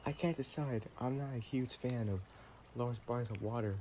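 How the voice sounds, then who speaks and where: A man playing an affectionate, playful vampire boyfriend in an intimate roleplay audio, chatting in a gentle, teasing way. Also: mid-range voice, a little hiss or hum, natural voice. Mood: musing, relaxed.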